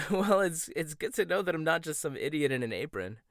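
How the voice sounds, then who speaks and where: A man playing a teasing rival chef, soft and close. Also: on the high side, very animated, a little fast, no echo. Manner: soft-spoken, teasing, gets flustered and stammers. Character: proud rival male chef, teasing and flustered. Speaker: ASMR roleplay voice actor. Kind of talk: boyfriend ASMR roleplay, one-sided dialogue.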